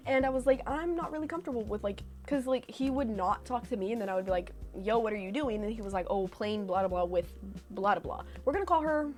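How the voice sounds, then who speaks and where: A young woman from California telling stories in a chatty, animated way with sarcastic asides, reacting to her old posts. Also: on the high side, a little fast, faint music behind. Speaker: vlogger. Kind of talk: casual reaction vlog storytelling.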